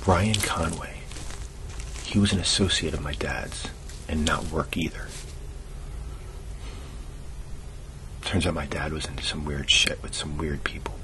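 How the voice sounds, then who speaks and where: Man playing very deep-voiced sardonic conspiracy guy. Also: steady tone, normal speed. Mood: dry, earnest. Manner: sardonic, colloquial, explanatory. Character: male conspiracy-minded cousin, dry and earnest, burgling a house. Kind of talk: hushed fictional audio drama for ASMR. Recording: noisy low-quality amateur recording.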